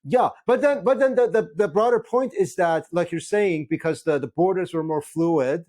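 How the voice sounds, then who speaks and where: A man's voice: high voice, moderate pace, a little mellow. A man hosting a casual conversation, speaking hesitantly with many filler sounds. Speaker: host.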